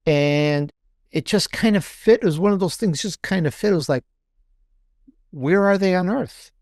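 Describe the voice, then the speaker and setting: A man's voice: sits high, normal speed, darkish tone, dry recording, studio quality. A man talking conversationally as an interview guest, repeating and rephrasing himself as he explains. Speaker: podcast guest, author and podcaster.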